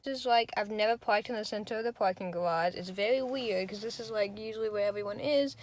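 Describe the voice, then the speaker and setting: A woman's voice: mid-range voice, quick pace, slight background noise. A young woman, a college student, chatting casually to her camera as she goes through her day, in a rambling, self-narrating way. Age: young adult. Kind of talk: casual vlog chat. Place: in her car and around campus.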